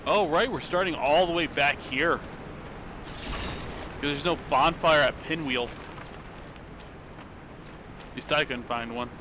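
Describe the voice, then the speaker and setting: A man's voice: on the high side, slow, pauses often, noisy background. A young man giving loose, rambling commentary while he plays, swearing casually, on a desk mic at home. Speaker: streamer. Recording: game music loud behind voice.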